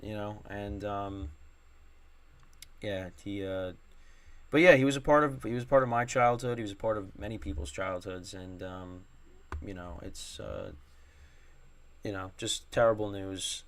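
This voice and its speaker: A man's voice: lowish voice, unhurried, pauses often. A young man hosting a sports podcast solo, rambling with many fillers and restarts, his voice strained from screaming at a game. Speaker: podcast host.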